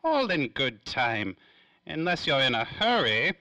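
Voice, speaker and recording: A man's voice: mid-range voice, big pitch swings, pushed and bright, no echo, lo-fi sound, exaggerated voice. A man playing a suave, cagey, self-important tycoon in a 1930s-style radio drama, drawing out his words theatrically. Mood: smug, evasive. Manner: theatrical, drawn-out, evasive phrasing. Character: suave, cagey, self-important industrial magnate in a noir serial.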